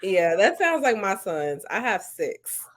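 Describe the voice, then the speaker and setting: A woman's voice: medium pitch, big pitch swings, slow pace. Woman host, middle-aged, supportive and affirming in conversation. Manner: encouraging, affirming interviewer. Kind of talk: casual interview.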